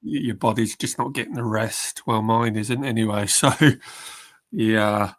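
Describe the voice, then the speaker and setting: A man's voice: on the low side, steady tone, unhurried, dry recording, studio quality. A man with a cold, chatting hesitantly as a guest on a live stream. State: bunged up with a cold. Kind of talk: casual chat.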